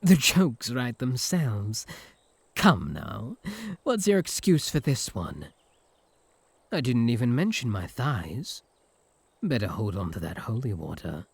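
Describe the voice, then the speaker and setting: A man's voice: medium pitch, big pitch swings, slow, vocal fry, long pauses, husky, no echo, heavily acted. A man with a British accent playing a smug, teasing, possessive incubus in a comic audio roleplay. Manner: mocking, flirtatious banter.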